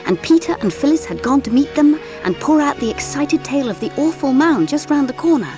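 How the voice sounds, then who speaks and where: A woman playing a gentle English mother, telling the story in an expressive audiobook narration. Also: high voice, brisk, music in the background. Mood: dramatic.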